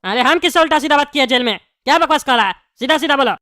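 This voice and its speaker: A high-voiced performer playing a hot-tempered, scheming bald father in jail, scolding in Bihari-style Hindi for a comedy cartoon. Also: lively intonation, racing, shouting. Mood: irritated. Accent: eastern Indian (Bihari-style) Hindi. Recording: clearly noisy background.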